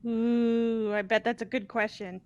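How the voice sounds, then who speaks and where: A woman's voice: on the high side, flat delivery, a little slow, a little mellow, clear, resonant tone, dull audio. A woman chatting casually as a player in a group video game playthrough. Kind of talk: casual chat.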